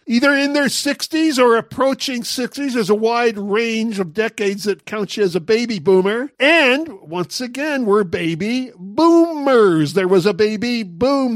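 A man's voice: high-pitched, no room sound, studio quality. A man of sixty-nine hosting a talk commentary monologue, making wry, sarcastic jokes. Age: 60s. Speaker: commentary host.

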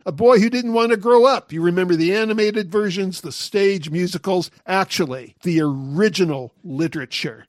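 A man's voice: high voice, dry recording, clean studio sound. A man of sixty-nine hosting a talk commentary monologue, making wry, sarcastic jokes. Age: 60s. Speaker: commentary host.

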